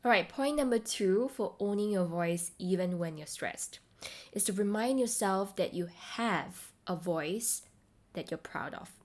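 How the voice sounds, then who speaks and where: A woman hosting a self-help podcast, speaking to the listener directly in a deliberate, encouraging way. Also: medium pitch, darkish tone. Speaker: podcast host and voice coach.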